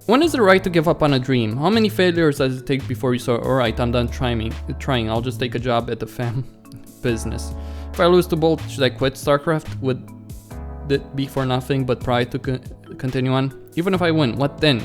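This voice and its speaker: A man's voice: medium pitch, a little fast, faint music behind, natural voice. A man voicing a weary, self-doubting young pro gamer's inner thoughts in a visual novel, brooding and questioning himself. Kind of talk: visual novel read-aloud.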